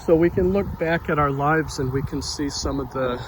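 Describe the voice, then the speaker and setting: A soft-spoken man giving an informal devotional talk outdoors near a street, in a quiet, unhurried manner. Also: fairly high pitch, background noise. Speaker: devotional vlogger. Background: passing cars.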